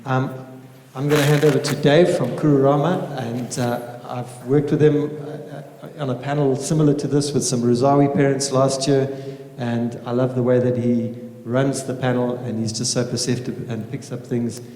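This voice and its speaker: A man's voice: medium pitch, fairly even intonation, normal speed, mellow. A man speaking informally as a teacher opening a panel evening, addressing a room and praying. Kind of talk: informal welcome speech and spoken prayer. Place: indoor room with small audience. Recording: echoey reverberant room.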